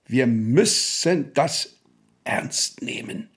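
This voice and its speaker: Radio play: authoritative, unyielding state official, medium male pitch, markedly unhurried, weighty official delivery. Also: expressive. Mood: cautious, official. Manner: measured, deliberate, official. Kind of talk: radio drama acting.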